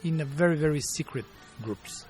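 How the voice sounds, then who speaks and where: A man in his fifties with a Bulgarian accent and a fairly high voice. He tells stories in an interview, stopping often to find the English words. Faint music plays behind him. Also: darkish tone. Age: 50s. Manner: halting, searching for words in English. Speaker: martial arts teacher, guest. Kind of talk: interview storytelling.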